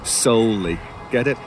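A man's voice: medium pitch, animated, takes it slowly, background noise. A man playing a sinister talking crow-like scavenger in an audio horror drama, formal, erudite and drily mocking. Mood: gleeful menace.

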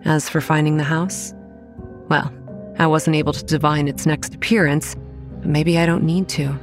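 A woman's voice: low voice, darkish tone. An actress playing a sarcastic, determined young woman who tells her own story in a horror audio drama. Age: young adult. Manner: wry, first-person storytelling.

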